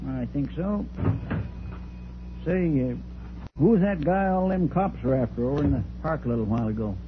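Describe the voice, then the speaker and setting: Faint hiss and thin old radio sound surround a male actor as a nosy American working man. His somewhat high voice is colloquial and needling. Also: expressive, moderate pace, lightly acted. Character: nosy, belittling working-class male bystander. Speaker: bit-part actor in police radio drama. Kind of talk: 1950s radio acting, colloquial chat. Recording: tinny, faintly noisy old radio broadcast.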